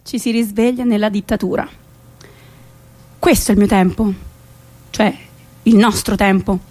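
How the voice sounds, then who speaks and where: A woman's voice: medium pitch, steady tone, a little slow, noisy background, close to an everyday voice. An actress playing a young woman who tells her story in a reflective, defiant theatrical monologue. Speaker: stage actress. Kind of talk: theatrical monologue with acted dialogue.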